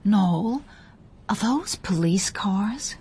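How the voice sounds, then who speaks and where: A woman playing a glamorous, excitable film star in a radio mystery, speaking in an effusive, dramatic way. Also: mid-range voice, extremely slow, slightly rough, heavily acted. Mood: anxious.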